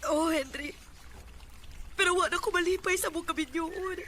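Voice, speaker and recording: A woman's voice: extremely high pitch, normal speed. A woman with a Filipino Cebuano accent acting an emotional married woman with a secret romance in a radio drama. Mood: pleading. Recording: noisy radio drama with ambience.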